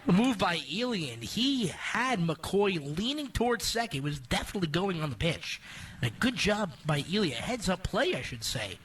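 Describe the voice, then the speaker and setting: Male color commentator for radio baseball, fairly high voice, conversational analysis, a little off the mic. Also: sing-song, moderate pace, fairly bright tone, husky, recorded from a distance. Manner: conversational, explanatory.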